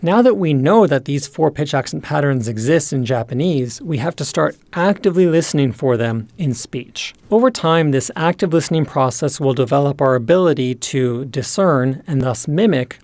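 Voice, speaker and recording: A man presenting a scripted language lesson, explaining in a measured way and enunciating key terms. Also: on the high side, expressive, a little mellow, no echo. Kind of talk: scripted instructional narration.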